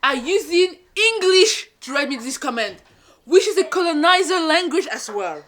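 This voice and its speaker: A young woman from Cameroon, a first-time mom vlogging in a sassy, animated way, whose first language is French. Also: very high-pitched, normal speed. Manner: animated, sassy, switches to French with her toddler. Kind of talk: casual talk to camera.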